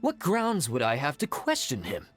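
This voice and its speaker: A man's voice: mid-range voice, huge pitch swings, normal speed, a bit bright, faint music behind. A man playing a shopkeeper in a video game, defensive at first, then eager to explain.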